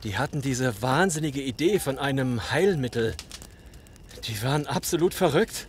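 An actor playing a lone male survivor, grateful yet quietly unsettling, in a German zombie audio drama. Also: medium pitch, lively intonation, normal speed, slight background noise, close to an everyday voice. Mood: grim, bitter.

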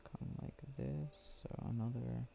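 A man speaking softly and drowsily in an ASMR boyfriend roleplay, murmuring with unhurried pauses. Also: mid-range voice, fairly even intonation, creaky, a little hiss or hum. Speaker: ASMR roleplay audio creator. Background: game clicking sounds.

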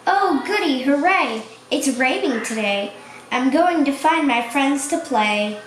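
A little storybook duckling read aloud by a woman speaks in a high voice. The delivery is slow, childlike and sing-song, in simple rhymes. It is recorded in an echoey room. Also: lively intonation, recorded from a distance. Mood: happy, playful. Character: young duckling, playful, childlike, fond of rain. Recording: echoey room, faint noise.

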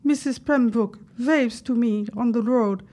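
An actress playing a frightened, devoted wife in a period audio drama, earnest and imploring in formal speech. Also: female voice, on the high side, a little slow, warm tone, close to an everyday voice.

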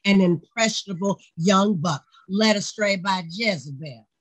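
An older woman playing a wry, guarded veteran journalist with a dry, measured manner, in a play reading. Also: mid-range voice, husky. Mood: cool, wry. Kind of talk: staged play reading over video call. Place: indoors, on a video call. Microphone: video call microphone.

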